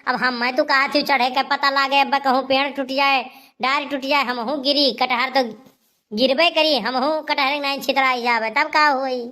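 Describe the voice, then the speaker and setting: A woman's voice: sits high, fairly even intonation, moderate pace, bright, forceful tone. A woman playing a heavyset, crafty village elder sister in a comedy cartoon, chatty and coaxing, with an Awadhi accent.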